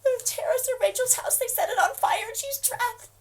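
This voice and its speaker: A frightened woman begging for help over the phone, played by an actress. Her voice sits very high with a gravelly fry, and she swings between hushed whispers and frantic pleading. She sounds set back from the mic, with a faint hiss of ambience behind her. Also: lively intonation, measured pace, recorded from a distance, full character voice. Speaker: actor playing a frightened mother on phone calls. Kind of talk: dramatic monologue acting.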